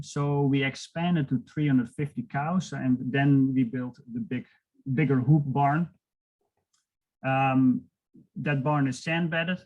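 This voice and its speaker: A man with a Dutch accent talks about his farm over a video call. He speaks slowly and hesitates a lot, with many fillers. He has an even, mid-pitched voice on a computer mic. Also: poor audio. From Netherlands. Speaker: dairy farmer.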